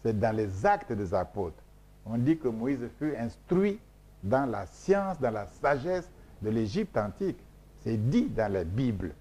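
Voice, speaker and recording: A man from Congo whose first language is Mboshi speaks as a scholar being interviewed. His voice is dark and mellow, at a middle pitch. He lectures with emphasis and drives each point home through repetition. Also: big pitch swings, measured pace. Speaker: scholar guest. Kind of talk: interview answers.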